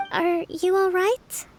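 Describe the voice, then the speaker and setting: A woman playing a young priestess leader in an anime-style game, gentle and composed with a weary edge, speaking politely and diplomatically. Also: very high voice, heavily acted. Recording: faint music behind the voice.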